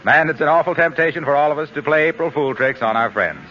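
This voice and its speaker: A man's voice: on the high side, lively intonation, quick pace. A man announcing and reading ads in a polished, salesmanlike 1940s radio style, on a muffled old recording. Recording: dull 1940s radio recording with faint music.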